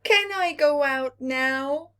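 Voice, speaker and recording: A woman's voice: high-pitched, slow pace, a bit bright, voice pushed up. A woman voicing a gloomy little boy planet in a children's storybook, pleading plaintively. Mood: pleading, sad.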